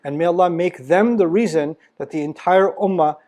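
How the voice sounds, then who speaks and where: A man's voice: on the high side, normal speed, a little mellow. A man giving a motivational religious lecture, emphatic and blunt, firing rhetorical questions and teasing his listeners. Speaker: Islamic lecturer.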